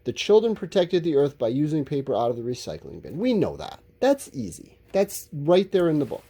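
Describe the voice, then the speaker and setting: A man's voice: fairly high pitch, wildly swooping pitch, brisk, mellow, breathy edge. A man teaching a school lesson, talking informally and explaining as he writes out answers, drawing out his words. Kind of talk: instructional lesson, thinking aloud while writing answers.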